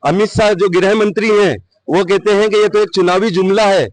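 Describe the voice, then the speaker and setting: A man's voice: high-pitched, fairly even intonation, normal speed. A man giving argumentative, insistent opinions in an interview. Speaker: member of the public interviewed. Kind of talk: opinionated street interview answers.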